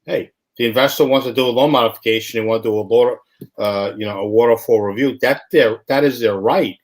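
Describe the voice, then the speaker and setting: Rambling, easygoing male host. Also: mid-range voice. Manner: loose, rambling, acts out dialogue in quick voices. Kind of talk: casual live show chat.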